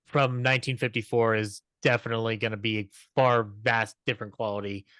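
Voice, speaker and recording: A man on a podcast panel chatting casually, haltingly repeating words as he searches for the next one. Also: lowish voice, little pitch movement, a bit bright, no room sound. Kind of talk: casual chat about old television.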